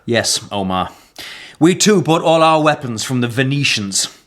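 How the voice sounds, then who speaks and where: An actor playing a captured European knight in a historical radio drama, a weary, wry mentor who talks in a musing, exasperated way. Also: male voice, mid-range voice, animated, unhurried, fairly bright tone. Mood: earnest, wry.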